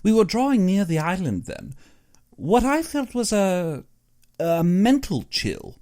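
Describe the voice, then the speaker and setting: A man voicing an uneasy, superstitious big-game hunter in an audiobook, earnest and brooding in his expressive delivery. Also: high-pitched, measured pace, voice pushed up. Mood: ominous. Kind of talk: audiobook character dialogue.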